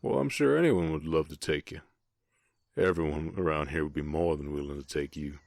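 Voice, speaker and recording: Man voicing a very deep-voiced, bashful Southern orc. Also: creaky, no room sound. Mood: reluctant, protective. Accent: American Southern. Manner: bashful, halting, softly protective. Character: gentle, shy, gruff male orc ranch hand. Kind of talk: romantic ASMR audio roleplay, western.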